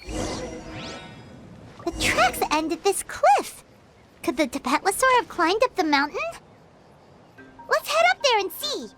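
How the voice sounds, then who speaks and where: A woman voicing a tiny, chirpy, eager fairy companion with a squeaky childlike voice in an English video game dub. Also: very animated, slow pace, long pauses, exaggerated voice. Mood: curious, alarmed. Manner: talks of herself in third person, exclamatory. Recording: music behind the voice.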